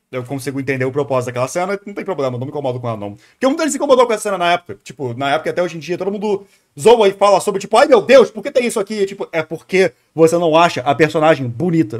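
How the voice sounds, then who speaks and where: Casting: Brazilian male streamer, very quick speech, slightly high voice, informal and rambling reaction chat. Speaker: live streamer reacting to a video. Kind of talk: casual livestream chat and commentary.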